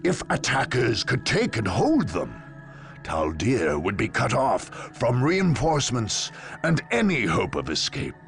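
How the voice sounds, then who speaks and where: Gravelly, solemn war commander. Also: male voice, mid-range voice, huge pitch swings, fairly bright tone, faint music behind, exaggerated voice. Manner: deliberate, solemn. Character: grave, stern male space marine commander narrating war. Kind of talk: dramatic scripted game narration.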